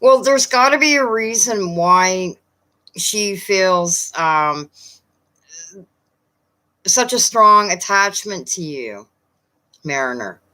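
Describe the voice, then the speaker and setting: Woman, Newfoundland accent, live-stream chat, unhurried, bright tone, lots of ums. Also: mid-range voice, expressive. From Newfoundland, Canada. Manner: chatty, hesitant, many fillers.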